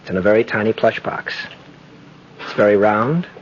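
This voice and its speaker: A man's voice: fairly low pitch, moderate pace, close to an everyday voice. An actor playing an earnest, romantic male lawyer in a theatrical, emphatic 1950s radio style. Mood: warm, teasing. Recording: muffled, hissy old radio broadcast.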